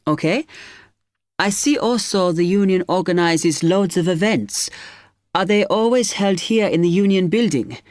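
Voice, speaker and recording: A hesitant young student. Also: male voice, sits high, measured pace, no echo, clean studio sound. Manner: hesitant, asking many questions. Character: young male postgraduate student, polite and hesitant. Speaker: international student. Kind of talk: scripted exam listening dialogue.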